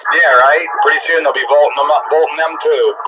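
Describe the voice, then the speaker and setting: A man's voice: on the high side, steady tone, fairly quick. A man chatting casually with other hobbyists over a two-way CB radio. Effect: two-way radio transmission. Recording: dull, noisy CB radio reception. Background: radio static.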